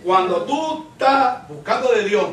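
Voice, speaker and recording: Puerto rican guy preaching bible study, high voice, really emphatic, repeats himself, roomy echo away from the mic. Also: animated, measured pace, noisy background. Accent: Puerto Rican Spanish. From Puerto Rico. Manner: emphatic, repeats phrases, asks the congregation rhetorical questions. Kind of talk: Pentecostal Bible-study sermon. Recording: roomy echo, distant microphone.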